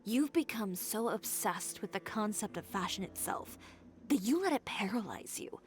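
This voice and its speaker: A woman's voice: mid-range voice, lively intonation, normal speed, natural voice. A woman playing a proud, defiant young fashion designer in an anime game, cutting and assertive in her delivery. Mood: cutting, reproachful.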